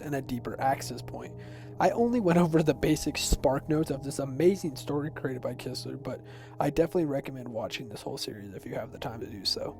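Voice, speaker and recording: Youthful, mellow higher-voiced man narrating. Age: teens to young adult. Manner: informal, personal commentary. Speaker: YouTube video essayist. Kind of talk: semi-scripted explainer narration. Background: background music.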